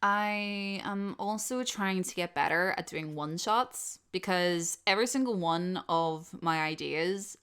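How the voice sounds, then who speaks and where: A woman's voice: lowish voice, unhurried, hardly any pauses, a bit bright, smooth. A woman who creates audio dramas, talking casually and unscripted as she answers subscriber questions in a rambling, self-deprecating way.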